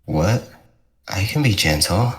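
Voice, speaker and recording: A man playing a young ghost twin in an ASMR roleplay, taunting and obsessive, speaking with measured, coaxing menace. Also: deep voice, lively intonation.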